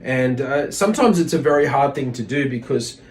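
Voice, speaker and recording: A man's voice: medium pitch, lively intonation, normal speed. A man giving an informal religious lesson, reading aloud and explaining in a hesitant, reflective manner. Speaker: religious teacher.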